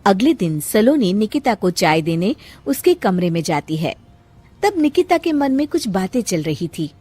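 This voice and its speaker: A woman's voice: mid-range voice, very animated, normal speed, darkish tone, slightly rough, no room sound. A woman narrating a cartoon story from a script.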